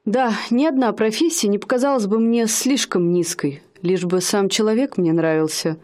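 An actress playing a haughty young woman posing as a high-society lady, speaking in an affected, aloof manner in a radio play. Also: moderate pace, darkish tone, close to an everyday voice.

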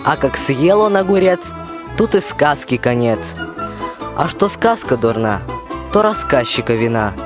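A man's voice: medium pitch, sing-song, measured pace. A young man playing a self-mocking fool of a storyteller, narrating a fairy tale in folksy, jesting rhyme. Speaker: narrator in a school radio play. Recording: jingling festive music under the voice. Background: jingling tinkling music.

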